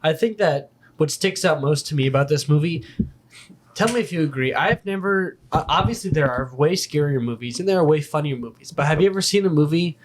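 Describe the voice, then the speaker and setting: A young man co-hosting a podcast, chatting in a relaxed, joking way with casual swearing. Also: on the high side, brisk, a little hiss or hum. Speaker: podcast co-host. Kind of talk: casual chat.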